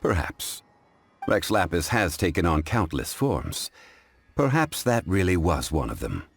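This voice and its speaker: An actor playing a calm, courteous, scholarly gentleman in a video game, speaking in a measured, formal, contemplative way. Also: low-pitched, breathy edge, soft background music, full character voice. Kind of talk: English video game voice acting.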